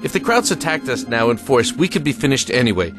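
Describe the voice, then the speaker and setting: A man's voice: medium pitch, big pitch swings, fast, over music, natural voice. A man playing a burdened, stern American army lieutenant in a war audio drama, firm and commanding.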